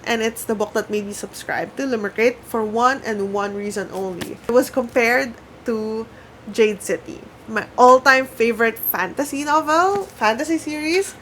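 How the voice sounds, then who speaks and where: Young woman, fairly high voice, chatty and excitable, talking to camera at home, faint background hiss. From Philippines. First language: Tagalog. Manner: chatty, excitable, self-mocking. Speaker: book vlogger. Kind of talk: casual talk to camera. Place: home room by her bookshelves.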